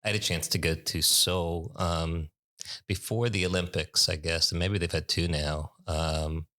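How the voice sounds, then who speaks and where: A middle-aged man hosting a podcast, talking casually with a halting, hesitant delivery. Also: low voice, steady tone, pushed and bright, no room sound, studio quality. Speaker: podcast host. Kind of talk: casual interview and introduction.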